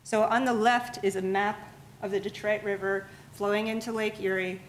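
A woman's voice: medium pitch, normal speed, slight background noise. A woman giving a public science lecture in a lecture room, explaining steadily and restarting words often. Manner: steady explanatory pace with frequent restarts and fillers. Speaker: museum lecturer.

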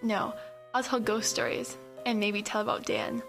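A woman's voice: mid-range voice, level tone, normal speed, music in the background, natural voice. A woman playing a bubbly, chatty teenage girl in a radio horror drama, with girlish casual delivery.

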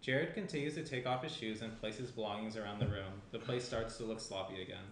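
A young man reads out screenplay action as the narrator. His voice is fairly low. The recording has audible room reflections and clear background noise. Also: quick pace. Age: young adult. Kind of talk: reading screenplay stage directions. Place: small room at a table. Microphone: shared tabletop microphone. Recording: roomy indoor sound, clear background noise.

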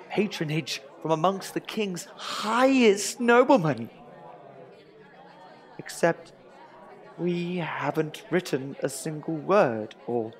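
A man playing an anxious, exasperated Tudor court lyricist in a comic audio drama, with theatrical, drawn-out emphasis. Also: a little high, exaggerated voice.

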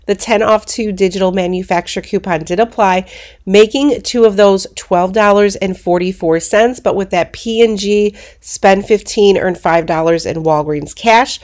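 A congested woman hosting a coupon channel, narrating a screen walkthrough of shopping deals in a brisk, practical way. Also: mid-range voice, a little mellow. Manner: explains steps in a brisk, practical way.

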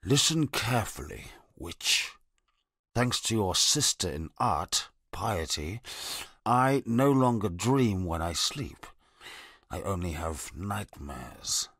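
A bitter, disfigured convict speaks in a very deep, gravelly, husky voice, slow and brooding. His wary, sardonic lines fall dry and close, recorded in a studio for a game. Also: very animated, a bit bright, no echo, full character voice. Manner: low, brooding and haunted, sardonic.

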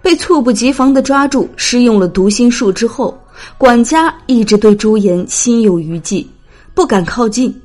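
A woman narrates an audiobook in a scripted, dramatic storytelling style. Her voice is a little high, with faint music underneath. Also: expressive, moderate pace, a little mellow.

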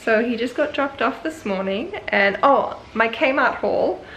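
A woman's voice: fairly high pitch, lively intonation, measured pace, fairly bright tone, a little hiss or hum, rough recording. Chatty young New Zealand woman vlogging. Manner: chatty, rambling, laughs often. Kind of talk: casual vlog chat. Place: parked car. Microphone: phone at arm's length.

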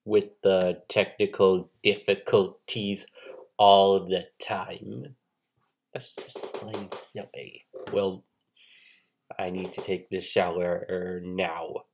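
Slow, low-voiced, creaky young man. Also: little pitch movement, a little hiss or hum. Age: young adult. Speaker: host. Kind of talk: casual show hosting to camera. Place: small bedroom, indoors.

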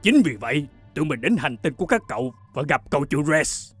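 A man's voice: on the high side, sing-song, a little fast, a bit bright, slightly rough, faint music behind, heavily acted. A man voicing an earnest dinosaur robot companion who guides and explains in a dubbed cartoon. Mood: earnest explaining. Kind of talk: Vietnamese cartoon dub.

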